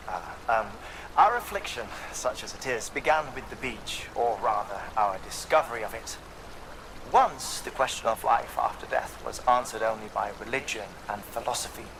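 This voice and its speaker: A man playing an eccentric, intellectual middle-aged scientist in a game, musing in a theatrical, halting, erudite way. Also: mid-range voice, expressive. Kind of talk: scripted game monologue. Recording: clear ambient background noise.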